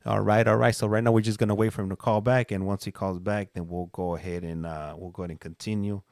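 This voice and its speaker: A man's voice: on the low side, steady tone, quick pace, vocal fry, a little mellow, no echo, studio quality. A man chatting informally and slangily as host of a live stream. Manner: slangy, addressing listeners and caller informally. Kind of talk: casual live-stream chat.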